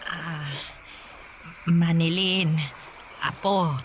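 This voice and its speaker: A woman playing a weary, gentle old grandmother who speaks hesitantly and softly in a radio drama. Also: fairly low pitch, steady tone, extremely slow, slight background noise, rough recording. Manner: hesitant, soft-spoken, pleading.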